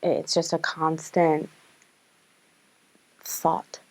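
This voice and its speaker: A woman's voice: deep voice, completely flat delivery, drawn-out words, creaky, poor audio. A woman in charge of others, talking reflectively in an interview. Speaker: leader.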